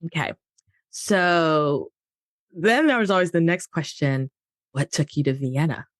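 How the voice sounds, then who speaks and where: A woman's voice: deep voice, expressive, a little slow, no room sound. A woman hosting a podcast, upbeat and friendly as she brings in her guest. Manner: upbeat, friendly, asks questions. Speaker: podcast host. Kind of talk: casual interview chat.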